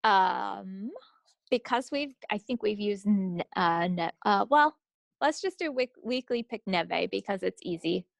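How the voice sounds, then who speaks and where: A woman's voice: medium pitch, lively intonation, a little slow, gravelly, no echo. A woman co-hosting a knitting podcast, chatting informally and off the cuff. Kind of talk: casual, unscripted craft chat.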